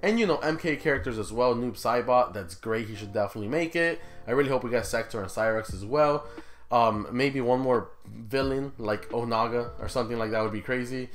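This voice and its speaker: A man's voice: medium pitch, brisk. A man in his twenties giving casual gaming commentary to viewers as a video host, informal and conversational, often throwing questions at them. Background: music.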